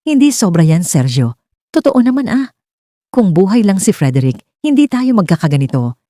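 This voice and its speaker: A woman voicing a sharp-tongued, bitter older mother-in-law in a dramatic story, scolding and mocking. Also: mid-range voice, big pitch swings, moderate pace, no room sound, studio quality, natural voice. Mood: bitter, blaming. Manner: nagging, shouting, mocking.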